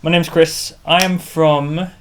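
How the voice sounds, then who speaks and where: A man's voice: a little high, level tone, slow, noisy background. A man from Corfu, Greece, presenting a casual personal vlog in an informal storytelling way. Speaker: vlogger and presenter. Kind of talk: casual to-camera personal narration.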